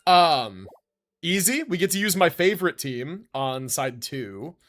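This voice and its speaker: An American man chatting casually on a live game stream in an animated, slangy, joking way. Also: on the high side, unhurried, faint music behind.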